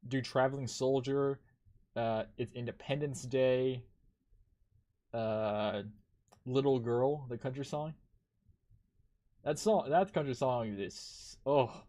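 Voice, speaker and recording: A man's voice: mid-range voice, takes it slowly, long pauses, mellow. A man chatting casually while gaming on a stream, rambling, swearing a lot and laughing often.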